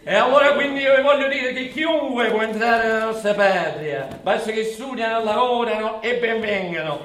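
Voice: medium pitch, moderate pace, a bit bright, echoey room, far from the mic, a little hiss or hum, lightly acted. An actor playing a bigoted, self-important nationalist video blogger who declaims with coarse, emphatic repetition in a theatrical satirical monologue. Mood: proud, scornful.

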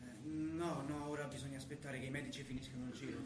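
A man's voice: medium pitch, steady tone, fast talker, far from the mic, lightly acted. Nervous man , acted in heavy noise. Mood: worried. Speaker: stage actor. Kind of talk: stage acting. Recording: very noisy, echoey theatre recording.

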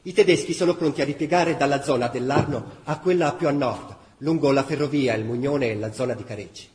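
A man's voice: mid-range voice, brisk, a little mellow. Echoey male radio-drama narrator. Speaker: actor narrator. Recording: echoey room with faint background noise.

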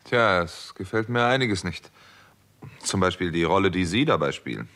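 An actor playing a hard-boiled, sardonic doctor turned investigator in a 1960s German radio crime drama, with dry, terse delivery. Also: male voice, low voice, animated, moderate pace, a bit bright. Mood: sceptical. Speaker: first-person narrator and protagonist. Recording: noisy old radio recording with clicks.

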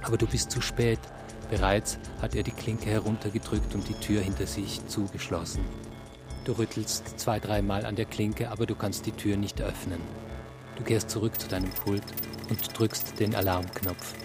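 A man narrating a radio play in the second person, telling the story in a measured, descriptive way. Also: on the low side, flat delivery. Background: music.

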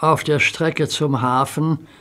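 A man narrates a children's story in a gently expressive tone. His voice sits a little high. Music plays behind him. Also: takes it slowly.

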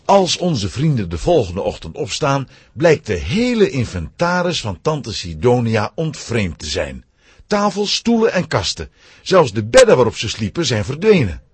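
Animated, slightly husky male storyteller. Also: mid-range voice, normal speed, no room sound. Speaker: narrator. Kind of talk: scripted children's story narration.

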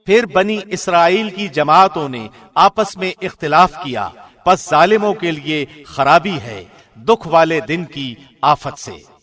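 Male reader, fairly high pitch, formal scripted scripture translation, measured radio-host diction. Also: animated, a bit bright.

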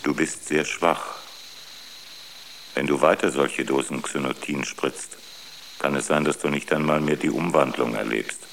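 An actor playing a calm, impassive ship's computer in a radio drama, speaking in a measured, unemotional way. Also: male voice, very deep voice, creaky, slight background noise, heavily acted. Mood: sober warning. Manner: measured, matter-of-fact, unemotional.

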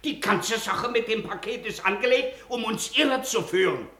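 A man's voice: high voice, animated, normal speed, a bit bright. A stubborn, aggrieved townsman in a classic radio drama. His voice is piercing and tense, emphatic and insistent, ringing in a very reverberant room. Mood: contradicting. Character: indignant, stubborn male theft victim demanding his fur coat. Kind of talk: classic German radio play acting.

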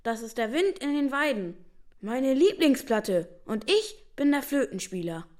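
A woman's voice: sits high, sing-song, measured pace, a little mellow. A woman playing a dreamy, cheeky young boy in a German radio play.

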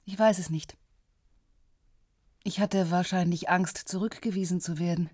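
An actress playing a tender, candid former lover in a radio drama, speaking softly and with some hesitation. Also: female voice, on the low side, fairly even intonation, unhurried, slightly rough, no room sound, studio quality. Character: tender, candid woman from Copenhagen, old lover. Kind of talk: intimate radio drama dialogue.

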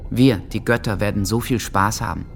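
A man playing a troubled, lovesick teenage boy in a radio drama, speaking his diary in a brooding, introspective, measured way. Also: on the low side, creaky, slight background noise. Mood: menacing. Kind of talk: radio drama monologue, intimate diary reading.

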